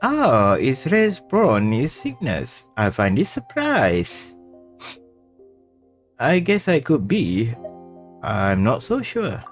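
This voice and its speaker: A male game streamer reading dialogue aloud during a playthrough in a halting, stumbling manner. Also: medium pitch, wildly swooping pitch, soft background music.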